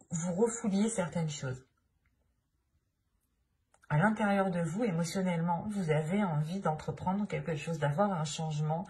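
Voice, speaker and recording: A woman's voice: fairly low pitch, darkish tone. A woman with a French accent giving a tarot reading, speaking hesitantly, with many fillers and restarts as she searches for her words. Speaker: tarot reader. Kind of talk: tarot card reading to camera.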